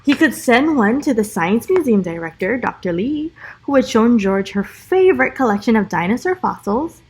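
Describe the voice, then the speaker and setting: High-voiced woman reading a children's storybook aloud. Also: normal speed, slightly rough. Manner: animated, encouraging asides to young listeners. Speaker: special education teacher reading aloud. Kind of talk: expressive children's storybook read-aloud with asides.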